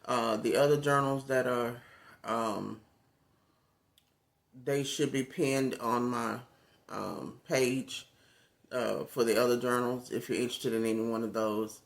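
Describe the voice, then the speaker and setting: A man with a raspy, gravelly voice talks casually into his phone as a content creator. He rambles in a relaxed way, full of fillers, at an everyday middle pitch. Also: measured pace, long pauses. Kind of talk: casual talk to camera.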